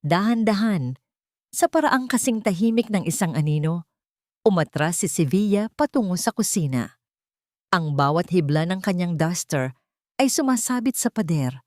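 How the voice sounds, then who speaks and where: Low-toned woman narrating drama, dry sound. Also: expressive, a little mellow, no echo. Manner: measured, solemn storytelling in short phrases. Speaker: story narrator.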